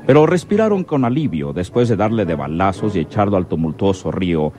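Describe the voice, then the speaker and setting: A man's voice: low-pitched, very animated, brisk, warm tone. A man narrating a radio serial in a dramatic, scripted storytelling style.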